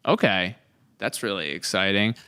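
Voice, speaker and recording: A man hosts a casual interview podcast with a clean, smooth voice that sits slightly low. He is enthusiastic and jokes easily with his guests as he asks his questions. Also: normal speed, bright, forceful tone. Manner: enthusiastic, jokey. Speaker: podcast host.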